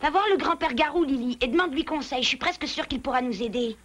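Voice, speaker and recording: A woman's voice: sits high, quick pace, close to an everyday voice. A woman playing a plucky, hot-headed young beaver child in a French cartoon, feisty and decisive. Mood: resolute. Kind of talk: French cartoon dub acting.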